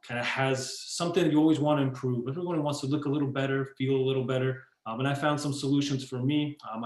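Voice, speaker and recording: Enthusiastic host man, echoey room. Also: medium pitch. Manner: enthusiastic, repetitive, many fillers. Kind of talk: video call introduction. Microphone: video call.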